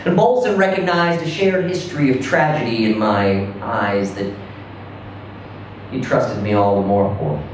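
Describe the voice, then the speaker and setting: A man reading a first-person short story aloud in a conversational yet theatrical way, with deliberate pauses. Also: mid-range voice. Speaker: reader performing a short story. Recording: roomy, echoing, distant mic with faint noise.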